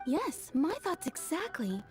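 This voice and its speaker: A woman's voice: high-pitched, sing-song, unhurried, faint music behind. A woman playing an earnest, fair-minded young townswoman in a video game, reasoning things out aloud. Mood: earnest, doubtful. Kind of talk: English video game voice acting.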